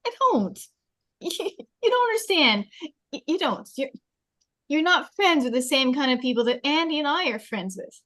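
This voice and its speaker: Casting: actress as argumentative, conceited popular teen girl, fairly high voice, table-read drama. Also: big pitch swings, moderate pace, a bit bright. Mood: upset. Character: popular, conceited, defensive teenage girl, bluntly honest. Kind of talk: table read of a film script.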